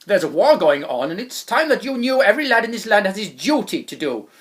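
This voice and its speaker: A woman voicing a blustering, glory-hungry male general in a rhyming storybook, shouting pompous commands. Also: medium pitch, very animated, fairly quick, natural voice. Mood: bellowing, commanding. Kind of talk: storybook character reading in rhyme.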